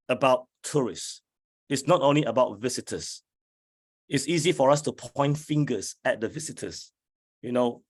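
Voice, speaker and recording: A man, an academic, giving a slide lecture in a hoarse voice, speaking deliberately with many fillers. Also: medium pitch. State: hoarse from flu.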